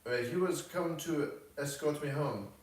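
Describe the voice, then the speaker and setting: A coquettish young Frenchwoman read by a man from a storybook, effusive and shrewd. The voice sits far from the mic in a very echoey room, with formal, flattering turns of phrase. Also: a little high, unhurried, pitched above the performer's own voice, natural voice.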